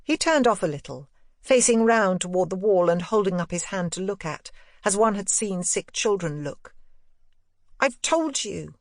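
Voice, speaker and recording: A woman audiobook narrator with a mid-pitched voice, recorded close and dry. She reads classic literary fiction in a measured, expressive way. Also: no echo. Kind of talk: scripted literary narration of a first-person ghost story.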